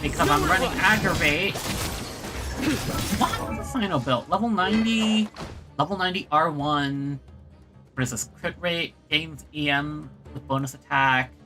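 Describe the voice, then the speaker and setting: A man's voice: on the high side, a little slow. A man giving casual, rambling gameplay commentary on a livestream, talking to himself and his viewers. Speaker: video game livestreamer. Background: video game music.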